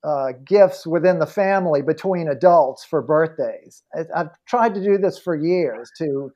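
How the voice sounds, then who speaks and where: A middle-aged man with a high voice for a male speaker. He co-hosts a podcast in a casual, conversational way. The sound is a little dull and lo-fi. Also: a little slow, dark tone. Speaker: podcast co-host.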